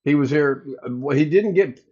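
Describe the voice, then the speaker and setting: Man, booming voice, livestream host, rambling casual chat, somewhat dull audio. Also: normal speed, a little mellow, husky. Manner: rambling, thinks aloud while fixing tech. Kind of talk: casual live chat with viewers.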